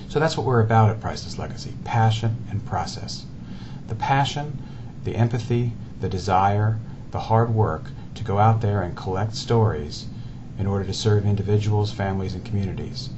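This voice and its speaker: A man in his forties presents his company in an earnest, deliberate way, leaning on lists of words. His voice sits fairly low. The recording is dull and lo-fi, with a steady hiss of background noise. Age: 40s.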